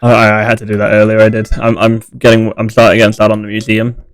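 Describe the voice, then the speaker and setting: A man's voice: on the low side, completely flat delivery, quick pace, smooth. A young British man with a British accent, chatting and teasing friends while streaming a game, laughing often. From Britain. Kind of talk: casual gaming chat.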